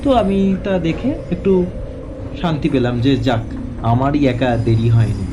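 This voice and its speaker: A man narrating a first-person horror story in a dramatic, suspenseful way, with deliberate phrases broken by pauses. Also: medium pitch, big pitch swings, noisy background.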